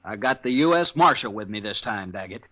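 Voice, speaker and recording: Cocky frontier townsman: sharp, jumpy mid-range voice, hostile, sneering, rustic, radio western. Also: fairly low pitch, animated, brisk. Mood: accusing. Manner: combative, sneering rustic talk. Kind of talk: old-time radio western acting.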